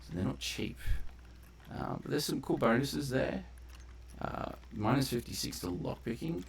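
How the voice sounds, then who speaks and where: Very deep, creaky-voiced man commentating a game. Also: slight background noise. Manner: rambling, tangential, explains game mechanics in detail. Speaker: game streamer and narrator of a playthrough. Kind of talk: casual unscripted gameplay commentary.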